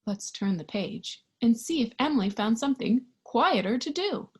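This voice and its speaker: A woman reading a picture book aloud to young children in an expressive, child-directed storytime style. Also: fairly high pitch, normal speed. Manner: expressive, child-directed, with questions and sound effects.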